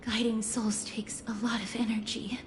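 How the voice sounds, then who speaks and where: An actress playing a solemn, gentle young priestess who guides souls in a video game, speaking in measured, incantatory phrases. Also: female voice, on the high side, flat delivery, slight background noise, lightly acted. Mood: calm, weary.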